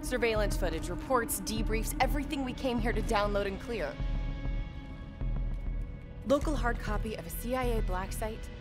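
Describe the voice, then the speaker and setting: A composed female intelligence operative played by an actress in a military video game. She lays out intel in a measured, matter-of-fact way. Loud music runs behind her voice. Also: on the high side, natural voice. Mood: grave. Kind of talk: video game cutscene acting.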